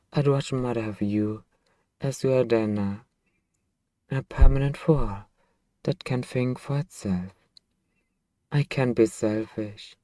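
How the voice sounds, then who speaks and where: A man playing a centuries-old vampire master and possessive, tender boyfriend in an intimate ASMR roleplay, speaking softly and wistfully with lingering phrasing. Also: medium pitch, dry recording, close to an everyday voice. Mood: possessive, adoring. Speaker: ASMR voice actor.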